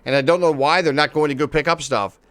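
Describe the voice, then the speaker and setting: Man, game streamer, casual and excitable, swears freely, slightly high voice. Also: fast talker. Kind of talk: casual live-stream commentary.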